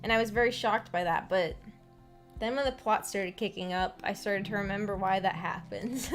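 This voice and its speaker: A woman with an American accent chatting casually to viewers as a book club streamer, in a giggly, halting, tentative manner. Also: mid-range voice. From United States. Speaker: streamer hosting a visual novel book club. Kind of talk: casual chat, discussing a story with viewers. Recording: voice over background music. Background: background music.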